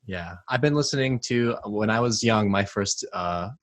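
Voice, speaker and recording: A man's voice: on the low side, normal speed. A young man from South Carolina chatting casually as an interview guest, offhand and a bit hesitant. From South Carolina, United States. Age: young adult. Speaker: band member, podcast guest. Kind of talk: casual chat.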